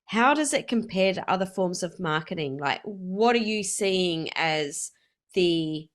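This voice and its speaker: A woman's voice: on the low side, expressive, measured pace. A woman hosting an interview, listening closely, summing up what she hears and asking follow-up questions. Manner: attentive, sums up and asks questions. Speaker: interview host. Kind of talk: casual interview talk.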